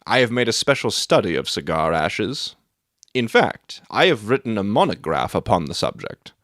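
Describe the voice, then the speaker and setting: A man performs a brilliant male detective for a dramatic audiobook. The voice sits low and swings widely in pitch as he lectures with precise, proud self-assurance, recorded dry and close. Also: very animated, moderate pace, fairly bright tone, dry recording. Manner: lecturing, matter-of-fact, self-satisfied.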